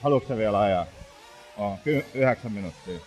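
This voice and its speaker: A racing mechanic from Finland, a man who gives calm, steady instructions and lap times over the team radio. His voice rises and falls with a lively pitch, and loud track noise fills the background.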